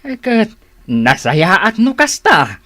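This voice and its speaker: A man playing a genial, plain-spoken older village uncle in a radio drama, with a drawn-out, homespun way of talking. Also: high-pitched, wildly swooping pitch, bright, forceful tone, dry recording, heavily acted. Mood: agreeable.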